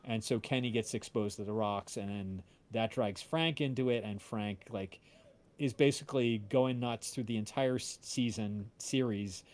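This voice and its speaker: A man's voice: fairly low pitch, normal speed. A man hosting a casual livestream, talking in a rambling, hesitant way. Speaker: audio drama creator hosting livestream.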